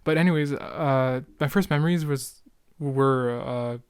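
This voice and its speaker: A man's voice: medium pitch, unhurried, smooth, no room sound, studio quality. A young man, chatting casually and in a rambling way as he hosts a solo podcast. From Canada. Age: late teens, young adult. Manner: rambling, self-interrupting, youthful slang. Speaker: podcast host. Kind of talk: casual solo chat, reminiscing.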